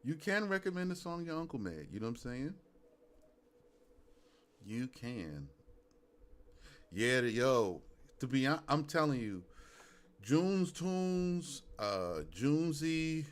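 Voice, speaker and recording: A man's voice: medium pitch, sing-song, takes it slowly, long pauses. A man with a Brooklyn accent hosting a live music review stream, laid-back and loose, leaning on "you know what I'm saying.". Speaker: host. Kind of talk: casual livestream chat.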